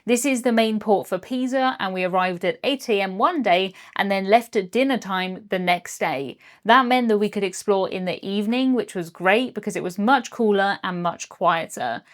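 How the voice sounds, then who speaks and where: A young woman with a British accent talks through her travels in an upbeat, chatty voice-over. Her pitch sits right in the middle for a woman, and she drops in small jokes as she goes. The delivery is clearly scripted. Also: normal speed, hardly any pauses. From Britain. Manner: upbeat, chatty, lightly jokey. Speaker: cruise vlogger. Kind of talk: scripted travel vlog narration.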